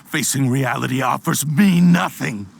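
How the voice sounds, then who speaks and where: A man's voice: medium pitch, soft background music. An actor playing a proud, stubborn male cursed sword spirit in a video game, speaking in a haughty, commanding way. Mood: cold. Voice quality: gravelly, slow and grave. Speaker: antagonist.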